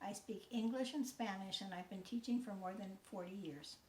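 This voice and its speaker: A woman in her sixties from the United States, a teacher giving a scripted talk in a measured, earnest way. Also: medium pitch, roomy sound, low-quality recording. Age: 60s. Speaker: teacher and mentor. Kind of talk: scripted talk, read aloud.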